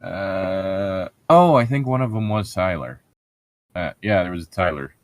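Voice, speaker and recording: A man with a fairly low voice chats casually as a co-host picking apart a book. His explanations ramble, full of filler "like" and swearing. The sound is dry, with little room to it. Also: animated, a little slow, dry recording. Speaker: podcast co-host reading and critiquing a book. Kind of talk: casual chat, commentary.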